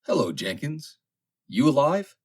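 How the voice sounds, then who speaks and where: A man's voice: on the high side, big pitch swings, unhurried, breathy edge, pitched above the performer's own voice, full character voice. A man voicing members of a rowdy, indignant sailor crew in an audiobook, questioning and jeering. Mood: surprised. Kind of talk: audiobook character acting.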